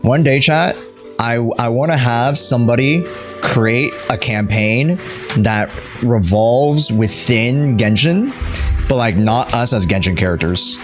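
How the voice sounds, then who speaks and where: A man's voice: medium pitch, slow, soft background music. A young man streaming a game with casual, playful, chatty commentary. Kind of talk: casual live gaming commentary.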